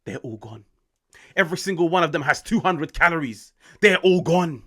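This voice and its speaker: A British man in his late twenties, hosting an excited football reaction, swearing often and swinging between loud outbursts and hushed asides. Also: fairly high pitch, moderate pace. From United Kingdom. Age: 20s. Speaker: football channel host.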